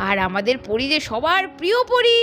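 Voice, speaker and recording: A doting, proud young mother from a doll cartoon, voiced by a woman. Her voice is very high and sing-song with lively swings, and she chides her family fondly. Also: fairly quick. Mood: cheerful. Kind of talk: Bengali doll cartoon voice acting.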